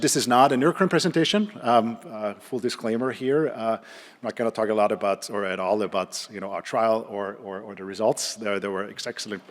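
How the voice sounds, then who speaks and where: A middle-aged man with an Austrian accent giving an informal conference presentation, haltingly, with repeated words and many hesitations. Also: fairly low pitch. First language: German. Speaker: conference speaker, neurologist and clinical trialist. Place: conference hall, speaking to an audience. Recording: reverberant hall sound.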